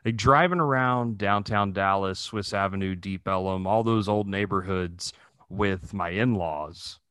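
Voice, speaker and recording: A man with an American accent chatting casually as a podcast co-host, animated, opinionated and freely swearing. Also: sits low, a little slow, clear, resonant tone, no echo. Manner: animated, profane, opinionated ranting. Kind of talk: casual chat.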